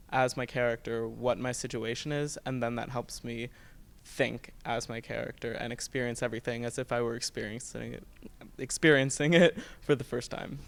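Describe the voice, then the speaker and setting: A teenage male student actor with an American accent, explaining his process in a measured, conversational way during an interview. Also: mid-range voice, vocal fry, clean tone. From United States. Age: teens.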